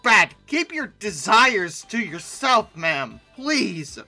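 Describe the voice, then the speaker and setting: Bright, expressive comedic commentator over music. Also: mid-range voice, slow pace. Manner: theatrical, exclaiming, sarcastic. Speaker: host of a comedy reaction video. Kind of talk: off-the-cuff comic commentary on images.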